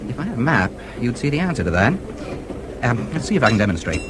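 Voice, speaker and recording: A man's voice: medium pitch, sing-song, quick pace, natural voice. An actor playing a confident, genial American newspaperman in a radio drama, with an American accent. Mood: explanatory. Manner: genial, breezy, self-assured. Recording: radio play with clear background noise.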